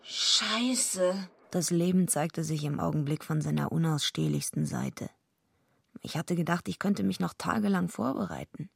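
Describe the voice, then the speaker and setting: A woman's voice: on the low side, unhurried, vocal fry, slightly rough, no echo, full character voice. An actress playing a naive but tough young female wrestler in a radio drama, plain-spoken and slangy as she tells and acts out her story. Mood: rueful, grumbling. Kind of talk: radio drama acting and first-person narration.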